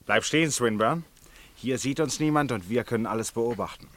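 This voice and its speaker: An actor in a German radio play voicing a shrewd, eccentric former judge turned sleuth, insistent and self-assured. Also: male voice, mid-range voice, a little fast, fairly bright tone, no room sound, natural voice. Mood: conspiratorial.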